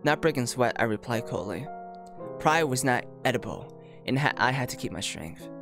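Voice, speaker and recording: A man's voice: mid-range voice, expressive, normal speed. A man doing casual playthrough commentary on a visual novel, reading it aloud and reacting informally with amused disbelief. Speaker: streamer. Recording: music playing behind the voice.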